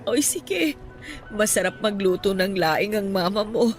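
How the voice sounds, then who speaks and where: A woman playing an elderly grieving mother in a radio drama, speaking softly and tremulously. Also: mid-range voice, expressive, a little slow, faint music behind, lightly acted. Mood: warm. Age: older.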